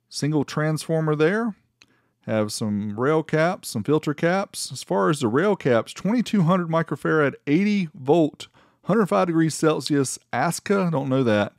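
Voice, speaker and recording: A man's voice: fairly high pitch, darkish tone, dry recording, studio quality. A man narrating a casual product review in a conversational, folksy way. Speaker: host of an amplifier review channel.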